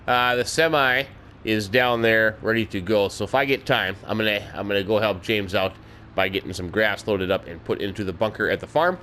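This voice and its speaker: A folksy man with a somewhat low voice chats through his farming game, tossing in mild homespun exclamations. He sounds a little stuffed up in the nose. A faint noise sits under the recording. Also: brisk, pushed and bright. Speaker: let's play host. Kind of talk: casual gameplay commentary.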